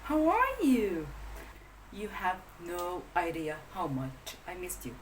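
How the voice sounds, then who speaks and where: Very animated woman reading children's stories. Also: lowish voice, takes it slowly, background noise. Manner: animated, addresses young listeners directly. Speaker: storyteller, host of a children's story show. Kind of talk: expressive children's storybook reading.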